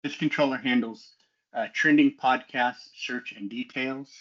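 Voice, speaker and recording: A man's voice: mid-range voice, fairly even intonation, measured pace, fairly bright tone, muffled recording. A man giving a methodical, explanatory technical walkthrough as a student developer, in a semi-scripted presentation style.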